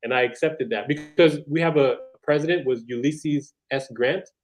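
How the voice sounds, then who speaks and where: A man's voice: medium pitch, moderate pace. An American man answering interview questions, explaining things step by step in a casual, thoughtful way. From United States. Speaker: guest. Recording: dull lo-fi sound.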